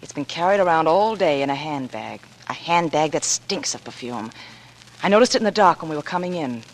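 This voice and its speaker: An actress playing a plucky, skeptical young American woman in 1940s radio drama, a sharp-witted amateur sleuth who is brisk, questioning and insistent. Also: sits low, expressive. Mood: assured, sharp. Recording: noisy old radio drama recording.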